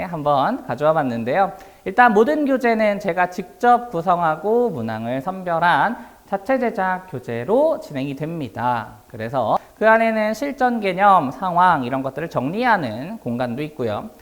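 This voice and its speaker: A man with a slightly hoarse, worn voice, presenting a class as an academy instructor in a polite, explanatory manner. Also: high voice, very animated, normal speed, hardly any pauses. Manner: polite, explanatory, formal Korean speech.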